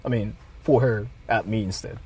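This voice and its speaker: A man livestreaming a mock apology to his viewers, speaking in deliberate, halting short phrases. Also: on the low side, warm tone, husky.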